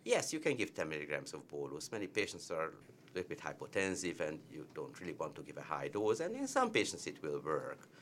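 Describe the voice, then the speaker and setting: Man, Hungarian accent, very low voice, emphatic cardiologist, faint background noise. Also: wildly swooping pitch, a little fast, a bit bright. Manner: emphatic, repeats key points. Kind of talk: medical teaching discussion.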